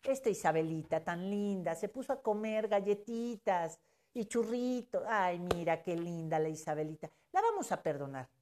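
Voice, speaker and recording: A woman putting on the voice of an indulgent God who coos and dotes, in a lower, softer tone than her own. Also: expressive, moderate pace, slight background noise, lightly acted. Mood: mocking. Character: doting indulgent God, forgiving lightly.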